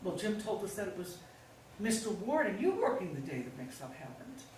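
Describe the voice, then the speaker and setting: A woman's voice: medium pitch, very animated, a little fast, a little mellow, close to an everyday voice. A woman playing a manipulative middle-aged pharmacist's wife in a stage play reading, coaxing and insistent with false sweetness. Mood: grave, probing. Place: indoor staged reading, mic far off. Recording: very echoey room, distant mic, faint noise.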